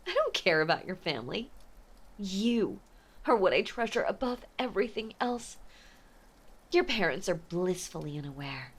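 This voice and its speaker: A woman playing an obsessive, possessive mafia heiress ex-lover in an intimate audio drama, speaking with menacing sweetness and often slipping toward a breathy whisper. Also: medium pitch, big pitch swings, normal speed. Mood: fierce possessive. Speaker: voice actor. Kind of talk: intimate dramatic roleplay monologue.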